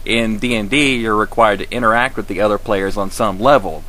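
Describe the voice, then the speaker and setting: A man's voice: on the low side, brisk, sharp tone, poor audio. A man hosting a web series, reading scripted comic commentary in a sarcastic, emphatic way. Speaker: host of a web series on roleplaying games.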